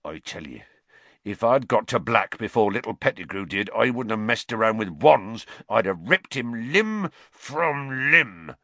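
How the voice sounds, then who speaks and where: Man acting big gruff groundskeeper, growling, fierce and wounded, swooping pitch, storybook character. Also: very animated, a little slow, fairly bright tone, dry recording, exaggerated voice. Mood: growling, furious.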